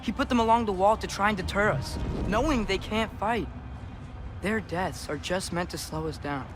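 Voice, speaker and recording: A man's voice: high-pitched, moderate pace, background noise, close to an everyday voice. A young actor playing an earnest, anxious teenage boy hero in a video game, hesitant and casual in phrasing, with music behind. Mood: earnest, urgent. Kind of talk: dramatic video game acting.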